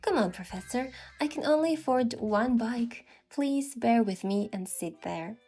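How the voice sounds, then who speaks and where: A woman's voice: a little high, expressive, a little slow, darkish tone, slightly rough. A woman plays a young girlfriend in a romantic game scene. She is playful, coaxing and earnestly affectionate, and music runs faintly behind her.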